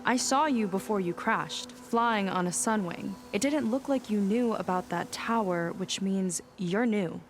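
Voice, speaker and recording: An actress voicing a confident young woman boat captain who talks in a calm, assured way and takes charge. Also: medium pitch, unhurried, few pauses, natural voice. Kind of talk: video game cutscene acting. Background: game score music.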